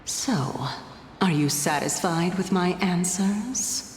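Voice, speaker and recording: Mysterious teasing sage lady from a game, real slow and smug-playful, echoey w music. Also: fairly low pitch, slight background noise. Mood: composed, confident. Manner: teasing, unhurried, theatrically poised. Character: playful, enigmatic female sage, teasing and unflappable. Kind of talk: video game voice acting. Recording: reverberant hall echo.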